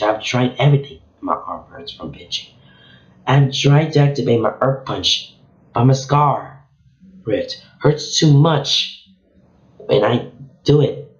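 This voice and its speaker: A man reads a story aloud, his very low voice hoarse and strained from a sore throat. His reading is halting and casual, with frequent slips. The recording sounds muffled and lo-fi, with a noticeable echo from the room. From Venezuela. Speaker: channel host reading a story. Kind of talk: reading a fan novel chapter aloud. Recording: echoey room, dull lo-fi sound.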